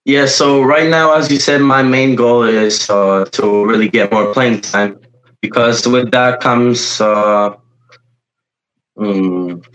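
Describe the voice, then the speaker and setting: A young man with Polish as his first language answers an interviewer's questions. He talks hesitantly, with many pauses and fillers while he hunts for the right words. His voice sits at a middle pitch. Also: steady tone, fairly bright tone, rough recording. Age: young adult. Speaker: footballer guest.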